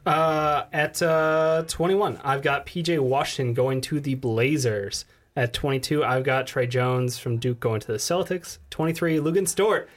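A man's voice: fairly high pitch, a little slow. Slangy, loose-talking podcast co-host man. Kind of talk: casual sports podcast chat.

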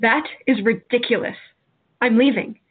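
A woman's voice: on the high side, fairly even intonation, normal speed, slightly rough. A woman playing an anxious, sceptical, proper young lady in a radio play. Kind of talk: hobby radio play acting. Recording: dull lo-fi audio.

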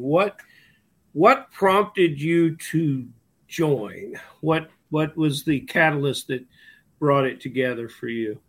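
A man co-hosting a casual interview, talking in a folksy, bantering way. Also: medium pitch. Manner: folksy, warm-hearted banter. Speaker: podcast co-host, league commissioner.

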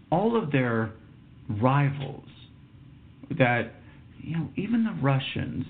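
A man's voice: medium pitch, vocal fry. A man in his fifties from Texas hosting a solo podcast, telling a story in a reflective, emphatic way and dropping to a whisper for asides. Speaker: podcast host. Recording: tinny phone-like sound.

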